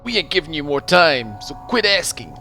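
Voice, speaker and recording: A man's voice: on the high side, very animated, normal speed, bright, forceful tone, faint music behind, voice pushed up. A man voicing an impatient young male loan shark thug in a visual novel, sneering and menacing.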